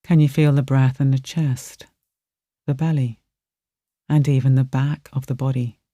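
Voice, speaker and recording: A meditation guide narrating a relaxation exercise in a gentle, soothing manner with soft, spacious phrasing. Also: medium pitch, flat delivery, a little slow, dry recording, studio quality.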